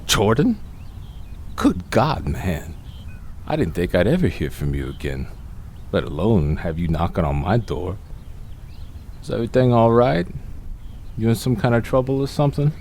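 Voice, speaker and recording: A man playing a wary, gruff retired crook who is suspicious of an old partner, in an audio drama. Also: fairly low pitch, animated, moderate pace, pauses often, a little mellow, slight background noise. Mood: annoyed. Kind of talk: amateur audio drama acting.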